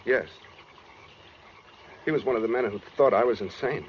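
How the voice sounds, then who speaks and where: An American man playing a brooding, coldly calm escaped killer in a 1940s radio suspense drama, speaking matter-of-factly with quiet menace. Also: medium pitch, normal speed, natural voice. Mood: quietly menacing. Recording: dull 1940s radio recording with noise.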